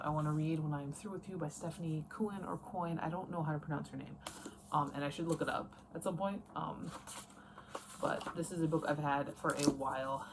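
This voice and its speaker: A woman in her late twenties chatting casually in a rambling, self-interrupting way, as in a personal vlog. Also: low voice. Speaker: book and writing vlogger. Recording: noticeable background noise.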